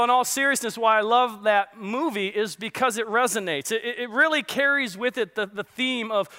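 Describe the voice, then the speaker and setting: A man's voice: very high voice, sharp tone, long reverb tail. A man preaching as lead pastor, joking with the congregation and asking them questions. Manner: jokey, conversational, asks the crowd questions. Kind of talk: sermon with audience banter. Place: large church hall.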